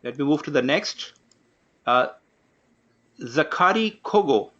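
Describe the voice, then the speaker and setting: A man opening an online course from his home office, giving instructions briskly and calling on participants one by one. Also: fairly high pitch, expressive, fairly bright tone, dull audio. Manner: brisk, instructive, takes roll call. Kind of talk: live online class introduction. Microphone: video call.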